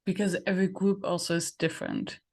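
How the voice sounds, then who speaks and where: Woman, German accent, slightly low pitch, podcast host, conversational, reflective, halting phrasing. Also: little pitch movement, a little mellow. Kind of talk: conversational interview chat.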